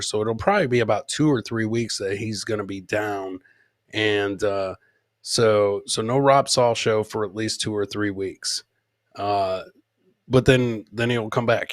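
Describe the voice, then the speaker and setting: A man's voice: fairly low pitch, dry recording, clean studio sound. A man hosting a comedy podcast, chatting casually and bantering with viewers in informal, profane language. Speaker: podcast host. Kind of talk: casual comedic livestream chat.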